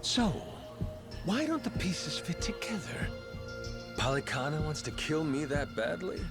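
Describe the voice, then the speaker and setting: A man's voice: a little high, big pitch swings, measured pace. A man playing a brash, playful middle-aged pirate captain with a folksy, slangy drawl in a video game. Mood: suspicious, needling. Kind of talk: video game cutscene acting. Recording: loud music behind the voice.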